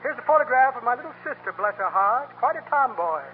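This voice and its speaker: A man's voice: very high-pitched, lively intonation, fast talker. An actor playing a roguish, breezy windbag con man in a 1930s radio comedy, with pompous, flowery, rambling patter. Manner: rambling, flowery patter while listing pocket contents. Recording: noisy, tinny 1930s radio broadcast.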